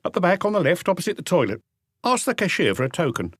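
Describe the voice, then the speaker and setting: A man's voice: high-pitched, very animated, fast, a bit bright, slightly rough, dry recording, studio quality, voice pushed up, exaggerated voice. A man voicing a cautious, obliging male café waiter in an audiobook mystery.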